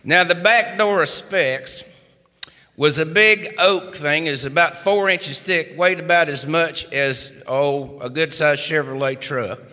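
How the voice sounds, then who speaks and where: A man with an East Tennessee accent tells a comic yarn in a relaxed, folksy drawl. His voice sits a little high and rings bright and forward. The live radio stage adds a long, lingering reverb. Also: a little slow. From East Tennessee, USA. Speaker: singer-songwriter and storyteller. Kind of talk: live humorous storytelling.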